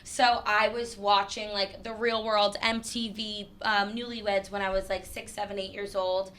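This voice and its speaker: Chatty young woman with a bright voice. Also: mid-range voice, little pitch movement, moderate pace. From New Hampshire, US. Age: 20s. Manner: chatty, lots of "like" fillers. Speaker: guest, creative director. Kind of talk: casual podcast interview.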